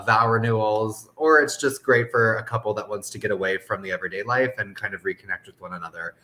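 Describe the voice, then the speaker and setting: A man speaking as an interview guest, giving an informal, explanatory sales pitch in a conversational way. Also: a bit bright. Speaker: interview guest, resort business development manager.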